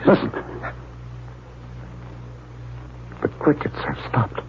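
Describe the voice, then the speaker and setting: An actor playing a fearful, suspicious doctor from a space crew in a 1950s radio drama. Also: male voice, a little fast, close to an everyday voice. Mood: hushed dread. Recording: tinny, faintly noisy 1950 radio recording.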